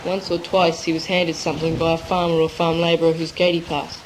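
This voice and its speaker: A teenager reading scripted third-person literary narration in a measured way on an amateur cassette recording. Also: medium pitch, flat delivery. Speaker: narrator in a school drama. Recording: noisy amateur 1980s cassette tape.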